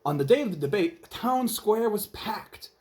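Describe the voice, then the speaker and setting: A man's voice: sits high, lively intonation, moderate pace, a little mellow. A man narrating a children's story aloud in an emphatic, theatrical way. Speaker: storybook reader.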